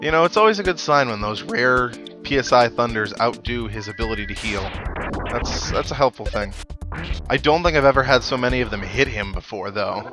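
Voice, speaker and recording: A man's voice: medium pitch, animated, a little fast. A man giving casual, wry commentary as he hosts a video game playthrough. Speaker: video game let's play host. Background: video game music.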